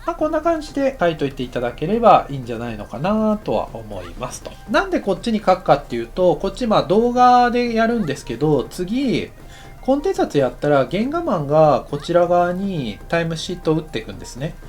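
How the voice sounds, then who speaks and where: Man teaching casually, lively swinging intonation. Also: high voice, fairly quick, faint music behind. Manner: conversational, counts aloud while working. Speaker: animation director teaching. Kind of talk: casual instructional lesson.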